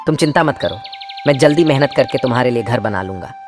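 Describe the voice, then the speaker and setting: A man plays a hardworking young male crow in a cartoon. He speaks earnestly and politely in a mid-pitched, very lively voice, with music behind him. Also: fast, lightly acted.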